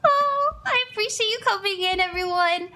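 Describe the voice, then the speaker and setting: A woman's voice: very high-pitched, animated, moderate pace, bright, forceful tone. A woman psychic chatting casually on a live stream, bubbly and giggly, thanking viewers often. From Hawaii.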